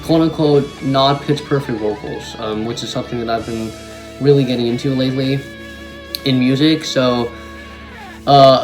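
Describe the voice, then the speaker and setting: A man's voice: mid-range voice, poor audio. Young man musician, music playing behind. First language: German. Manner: rambling, many ums and restarts, enthusiastic. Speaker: musician talking about his song. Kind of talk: casual talk to camera.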